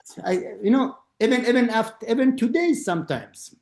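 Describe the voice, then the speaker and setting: A middle-aged man, an architect being interviewed, speaking halting non-native English with many restarts and acting out the voices in his stories. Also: very high-pitched, expressive, a little mellow, reverberant. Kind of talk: conversational interview. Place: lives in Austria.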